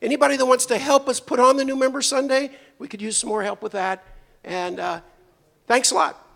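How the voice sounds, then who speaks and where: Hoarse man with a gravelly high voice. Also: fairly even intonation, fairly quick, fairly bright tone. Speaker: membership speaker at a spiritual center. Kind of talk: church announcements.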